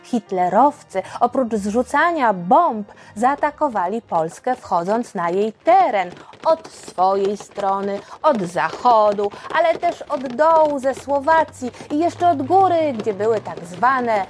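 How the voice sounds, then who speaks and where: A woman's voice: a little high, expressive, slow, a little hiss or hum. A woman narrating history for children as a podcast host, explaining things and addressing listeners directly.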